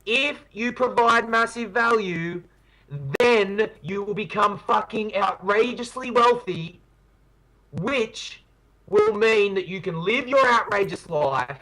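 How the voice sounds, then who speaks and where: A man's voice: high-pitched, takes it slowly, pushed and bright, poor audio. A man hosting a podcast in casual chat, playful and jokey, hyping ideas with enthusiasm. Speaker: podcast host.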